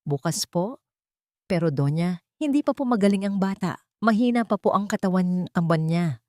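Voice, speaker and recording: A woman voicing a desperate, humble young maidservant in an audio story, pleading in a hushed, hoarse voice. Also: medium pitch, lively intonation, normal speed, no echo, clean studio sound, close to an everyday voice. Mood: fearful protest. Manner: pleading, respectful.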